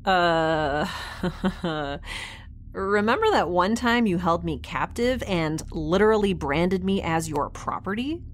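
An actress playing a wry young scientist on a space station, casual, breezy and sarcastic, in a science fiction audio drama. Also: female voice, on the low side, animated, slow, slight background noise. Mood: mocking.

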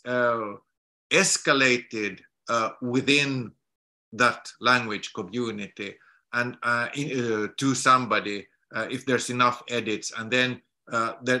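A middle-aged man from Finland talks over a video call from a home study lined with books. His voice is strikingly bright and his delivery halting, with many restarts and repeated words as he explains. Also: medium pitch. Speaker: guest lecturer.

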